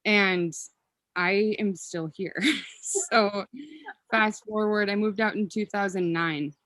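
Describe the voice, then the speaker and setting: A woman's voice: mid-range voice, steady tone, slow, a bit bright, dry recording. Chatty woman in her thirties, dry sound. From Minnesota. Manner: chatty and rambling, with frequent ums and self-corrections. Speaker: podcast guest. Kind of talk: casual interview chat.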